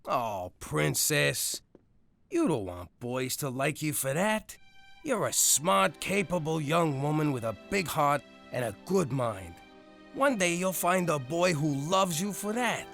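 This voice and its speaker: A man voicing a gruff, blustery middle-aged father who owns a club and gives in to his daughter. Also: high voice, sing-song, moderate pace, soft background music, exaggerated voice. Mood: pleading. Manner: blustery, shouts when upset.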